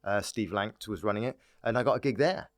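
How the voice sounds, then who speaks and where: A man's voice: on the low side, fairly quick, dry recording, clean studio sound. A man from Dorset with an English accent, telling anecdotes as a comic guest in playful, casual chat. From Dorset, England. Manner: playful, animated riffing, often breaks into laughter. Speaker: stand-up comic, podcast guest.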